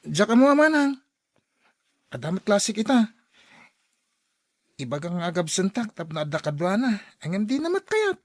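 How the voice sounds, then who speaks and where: Lively, swooping worried youngster. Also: female voice, medium pitch, normal speed, long pauses, no room sound, studio quality. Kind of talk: radio drama acting in dialogue.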